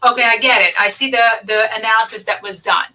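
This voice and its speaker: A woman's voice: fairly high pitch, fast talker, pushed and bright, recorded from a distance, poor audio. A woman hosting a business advice podcast, explaining things emphatically and repeating key points. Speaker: podcast host and accountant.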